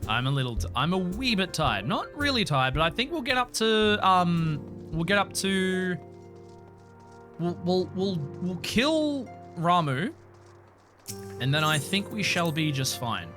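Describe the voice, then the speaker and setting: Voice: mid-range voice, big pitch swings, unhurried, a bit bright, soft background music. A tired streamer chatting casually during a live playthrough of a fantasy game, rambling and often restarting words.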